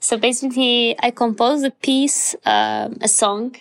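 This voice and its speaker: A woman's voice: a little high, steady tone, measured pace. Polish woman musician answering hesitantly. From Poland. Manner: hesitant, many fillers and restarts. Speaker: musician guest. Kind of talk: interview answers.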